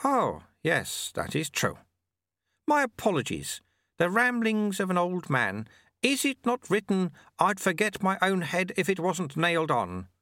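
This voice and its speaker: A man's voice: wildly swooping pitch, unhurried, dry recording, clean studio sound, exaggerated voice. A man doing a sly, twinkling little old sweeper. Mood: amused kindly. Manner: genial, gently teasing, high and bright.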